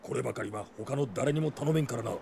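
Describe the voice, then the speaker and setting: An actor playing a stern, devoted older knight commander in a fantasy audio drama, speaking in formal, archaic phrases. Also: male voice, mid-range voice, quick pace, gravelly, darkish tone, slight background noise, lightly acted. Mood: grave, resolute.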